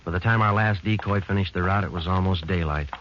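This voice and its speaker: An American actor playing a terse, weary police sergeant in a deadpan, clipped manner, in the style of a 1950s radio crime drama. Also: male voice, low-pitched, fast talker, natural voice. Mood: matter-of-fact. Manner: deadpan, matter-of-fact. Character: terse, weary male police detective sergeant narrating his case. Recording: muffled, noisy old radio recording.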